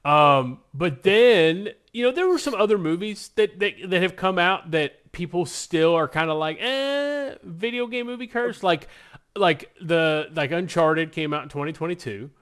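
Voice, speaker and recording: A man's voice: sits high, animated, measured pace, fairly bright tone, clear, resonant tone. Enthusiastic man in casual podcast chat. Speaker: podcast co-host.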